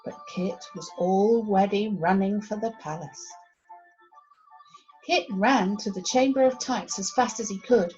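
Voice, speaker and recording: A woman reading a children's storybook aloud as expressive narration. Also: medium pitch, measured pace, dull audio, faint music behind. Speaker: primary school story reader.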